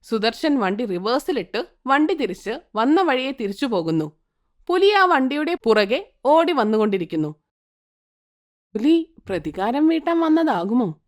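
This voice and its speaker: A woman narrating a cartoon moral story in an expressive, sing-song way. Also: sits high, measured pace.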